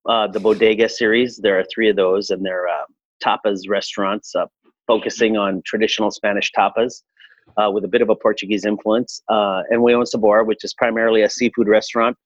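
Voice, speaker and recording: A man in his forties from Chile, a restaurateur answering interview questions in a relaxed, reflective way with frequent fillers. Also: on the low side, normal speed, no room sound, dull audio. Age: 40s. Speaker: restaurateur guest.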